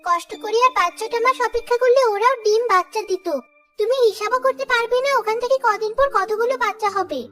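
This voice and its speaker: A very high, childlike cartoon mother bird, with music playing prominently behind. The little creature is crafty and bold, stubbornly standing up for itself. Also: fast talker, a bit bright. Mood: sly, needling. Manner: sly and defiant.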